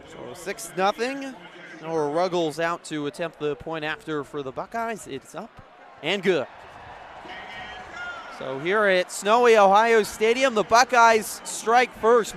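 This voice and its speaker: A man doing live radio play-by-play, moving between measured setup and urgent calls. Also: sits high, fairly bright tone. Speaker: play-by-play sports announcer. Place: outdoor stadium broadcast position. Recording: background noise of an outdoor stadium.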